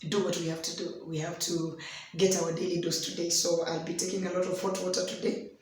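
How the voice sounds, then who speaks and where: A young Kenyan woman with a hoarse voice tells a personal story in an unhurried devotional talk, slipping in Swahili phrases. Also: lowish voice, flat delivery, a little mellow, slight background noise. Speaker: host of a daily devotional. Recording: very echoey room.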